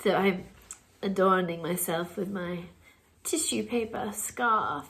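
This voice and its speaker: A woman's voice: lowish voice, slow pace, fairly bright tone. A woman in her forties with a stuffy nose from a cold, hosting a laughter session from her living room, laughing through her words. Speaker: laughter yoga host. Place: sunny living room at home.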